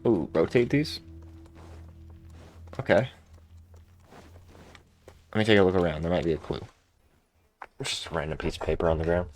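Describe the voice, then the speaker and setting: A man's voice: deep voice, expressive, normal speed, long pauses, a little mellow, faint music behind. A man giving casual, laid-back, slangy commentary as he plays a video game. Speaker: gamer, video host.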